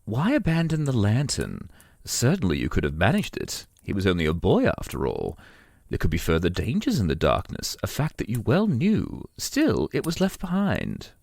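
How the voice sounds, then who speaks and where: A man's voice: lowish voice, wildly swooping pitch, normal speed, gravelly, a little mellow, no room sound, heavily acted. A man playing a pompous, probing inquisitor with mocking courtly politeness, speaking in an ornate, drawling, theatrical way for an audio drama.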